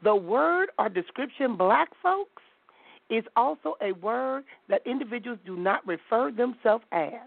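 A woman hosts a call-in show over a thin, tinny phone line, her voice sitting a little high and swinging widely in pitch. She is blunt and outspoken and laughs easily. The sound is dry and close. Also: animated, unhurried, creaky, no room sound.

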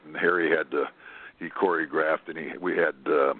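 A man, a film director calling in as a guest, recounting a shoot in a rambling way, with many pauses. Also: a bass voice, steady tone. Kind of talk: casual storytelling on a call-in show. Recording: tinny phone line, dry.

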